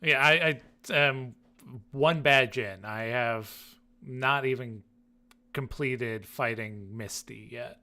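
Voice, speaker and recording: Male co-host from the United States, bright, forward voice, relaxed conversational podcast delivery. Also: medium pitch, slow. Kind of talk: casual chat.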